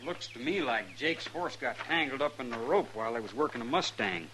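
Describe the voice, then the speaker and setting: A folksy, earnest ranch hand in an old western radio play. His voice is sharp and forceful, with an American Western drawl, on a slightly noisy vintage recording. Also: medium pitch, lively intonation, a little fast. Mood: earnest explaining. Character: plain-spoken male ranch hand protesting his innocence. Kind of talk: 1950s radio drama acting.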